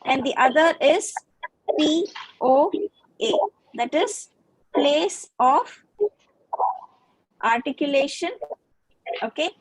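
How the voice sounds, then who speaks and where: A woman with a high, bright-pitched voice gives a lecture over a video call. She explains step by step, often pausing to ask whether the class follows. The sound is dry and close, with no room echo. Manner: patient, checks understanding with frequent "okay" and questions. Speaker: guest lecturer in phonetics.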